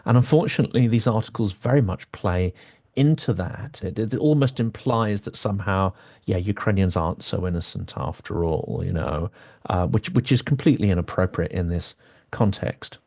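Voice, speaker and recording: A man's voice: lowish voice, expressive, normal speed, a little mellow. A male host in a conversational interview, building his points thoughtfully and ending them with tag questions.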